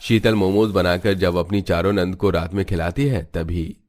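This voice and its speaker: Male narrator, fairly low pitch, scripted cartoon storytelling. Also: moderate pace, a little mellow.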